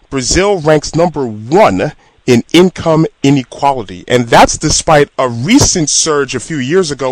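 A man hosting a sports podcast, reading an introduction and asking questions in an even, formal way. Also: mid-range voice, no echo. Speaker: podcast host. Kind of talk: podcast interview and scripted intro.

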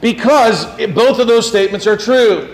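A man's voice: high-pitched, animated, moderate pace, poor audio. A man preaching a sermon as pastor, in a conversational way, asking the congregation questions and teasing them.

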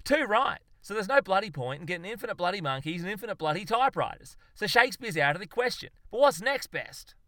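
A man's voice: a little high, animated, fairly quick, pushed and bright, no echo. A man voicing a fervent, exasperated Australian zoologist in a comic story, ranting emphatically and swearing. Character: exasperated, blustering Aussie bloke zoologist, manically fervent.

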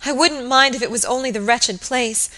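A woman's voice: on the high side, moderate pace, bright, forceful tone, pitched above the performer's own voice. A woman voicing a hysterical young servant woman in an audiobook drama, sobbing, gasping and pleading in terror. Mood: distraught, alarmed.

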